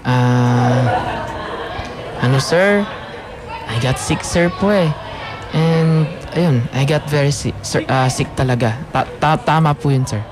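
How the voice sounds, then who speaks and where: An actor with a Filipino accent playing an insolent, sarcastic student son in a radio drama, slipping between Tagalog and English. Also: male voice, mid-range voice, takes it slowly, crowd noise, close to an everyday voice. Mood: evasive, cheeky. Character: sullen, insolent young adult son, a student.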